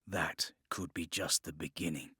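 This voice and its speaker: A man's voice: rumbling low, fairly even intonation, unhurried, bright, forceful tone, breathy edge, no room sound, studio quality, pitched below the performer's own voice, full character voice. A man playing a proud, ambitious male assassin turning traitor in an audiobook, with a terse, guarded, menacing manner.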